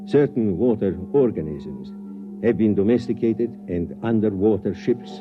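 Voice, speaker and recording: An actor playing an observing professor in 1950s radio drama style, clinical and deliberate. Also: male voice, fairly low pitch, expressive, lightly acted. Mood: clinical, detached. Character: measured male professor observing through a microscope. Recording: thin phone-like sound, music behind.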